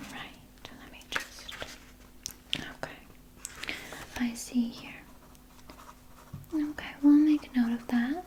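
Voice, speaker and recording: A woman playing a doting, quietly sinister vampire nurse in a gentle, breathy ASMR whisper, close to the microphone. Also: on the high side, slight background noise, low-quality recording. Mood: coaxing, doting. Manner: slow, gentle, hushed, coaxing with veiled menace. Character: soft-spoken female vampire nurse, doting, politely sinister. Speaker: ASMR performer. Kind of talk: whispered ASMR personal-attention roleplay.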